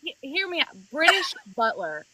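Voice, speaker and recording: A woman's voice: high-pitched, moderate pace, very noisy. A woman guest narrator telling a story in a casual online chat, acting out the quotes as she goes. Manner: expressive storytelling, acts out quotes.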